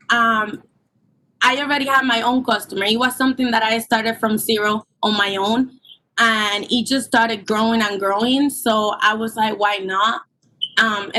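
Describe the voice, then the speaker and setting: A woman of twenty-one, explaining her business plan earnestly at a public hearing, with halting ums, over a call line. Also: fairly high pitch, bright, forceful tone, a little hiss or hum, poor audio. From Puerto Rico. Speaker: permit applicant. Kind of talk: remote public hearing testimony.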